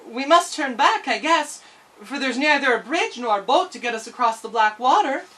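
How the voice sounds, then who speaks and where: Storybook role: plucky, polite young girl, bright-eyed and expressive, played by a woman narrator. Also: sits high, moderate pace, far from the mic, voice pushed up. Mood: hesitant. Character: plucky little girl, polite, easily startled.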